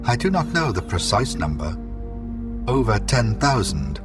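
An actor playing a polite, emotionless android envoy in an audio drama, speaking in a formal, measured, impassive way. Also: male voice, lowish voice, big pitch swings, music in the background.

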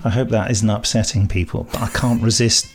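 A man's voice: on the low side, steady tone, a little fast, faint music behind. A middle-aged man hosting a podcast in casual chat, reading listener emails with wry, bantering asides. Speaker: podcast host, audio drama director and composer.

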